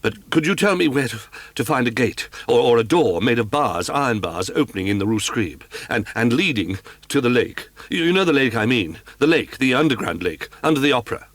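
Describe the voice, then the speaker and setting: A man's voice: mid-range voice, expressive, fairly quick, a bit bright, dry recording, natural voice. A man voicing an anxious young male nobleman who stammers and pleads urgently. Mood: frantic.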